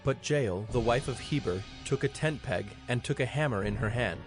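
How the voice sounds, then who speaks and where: Male scripture narrator, mid-pitched, scripted and solemn, musical score clearly behind. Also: over music. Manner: solemn, measured storytelling.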